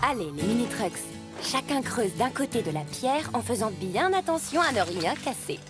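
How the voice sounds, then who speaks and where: A woman's voice: a little high, very animated, normal speed, loud music behind the voice. A woman voicing a knowledgeable, kindly explorer who guides youngsters in a children's cartoon, instructive and encouraging. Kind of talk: animated children's cartoon acting.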